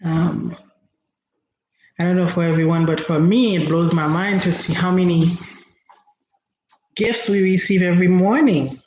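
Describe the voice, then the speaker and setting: A woman from Haiti whose first language is Haitian Creole, giving an inspirational talk as host, slow and reflective with frequent pauses. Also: on the low side, fairly even intonation, a little mellow. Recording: roomy, dull lo-fi recording with faint noise.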